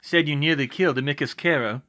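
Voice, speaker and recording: Bold young male storybook wizard, brisk and offhand, punchy bright tone acted by a man. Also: fairly high pitch, muffled recording. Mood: casual concern.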